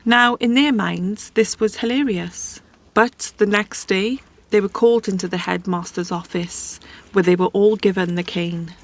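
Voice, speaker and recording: A woman tells a story as if chatting with a friend. She talks casually and drops in conversational asides. Her voice sits at an ordinary middle pitch. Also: a little slow. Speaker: host.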